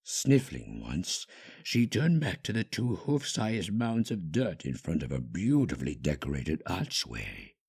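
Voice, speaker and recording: Very deep, gravelly male story narrator. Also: huge pitch swings, a little slow, darkish tone. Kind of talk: scripted dramatic story narration.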